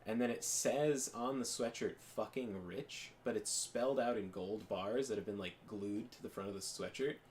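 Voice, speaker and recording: A man's voice: lowish voice, moderate pace, hardly any pauses, slight background noise. A young man chatting casually as a podcast co-host, rambling with lots of fillers and restarts. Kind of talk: casual chat while gaming.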